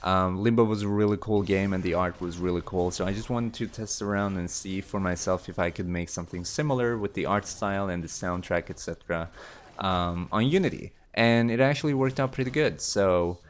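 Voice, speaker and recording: A man's voice: low voice, fairly quick. A man in his late teens answering viewer questions in a casual, rambling way, with many fillers and restarts. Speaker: YouTube creator. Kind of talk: casual Q&A chat.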